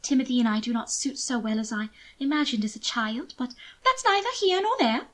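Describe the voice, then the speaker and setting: A woman's voice: sits high, expressive, a little fast. A woman voicing a doting, manipulative upper-class young lady for an audiobook, coaxing and full of affected endearments. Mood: gushing, delighted. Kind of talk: audiobook character acting.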